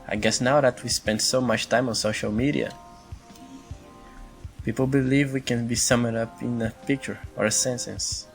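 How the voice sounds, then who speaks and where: A man's voice: medium pitch, steady tone, normal speed, gravelly, faint music behind, natural voice. Man playing a thoughtful coffee shop regular. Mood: reflective. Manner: reflective, conversational. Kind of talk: fan dub of a visual novel.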